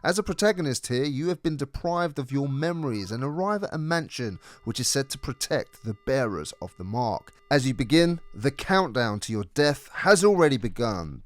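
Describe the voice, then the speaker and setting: A man's voice: medium pitch, dry recording. A man presenting a scripted video game review as narrator.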